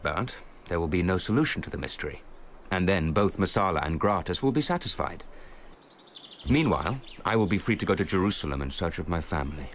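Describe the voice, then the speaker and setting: An actor playing a resolute, shrewd young nobleman in a radio drama, speaking in a measured, earnest, formal way. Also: low voice, animated, slight background noise.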